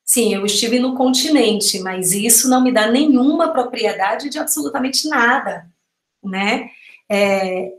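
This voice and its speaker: A woman giving a reflective, explanatory talk as a panel speaker on a video call, often checking in with tag questions. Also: on the high side. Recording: roomy video-call audio.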